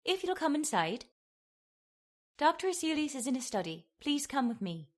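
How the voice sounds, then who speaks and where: A woman's voice: sits high, big pitch swings, moderate pace, noisy background. An actress playing a polite, deferential female servant in old-time radio horror style, speaking formally. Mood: formal, courteous.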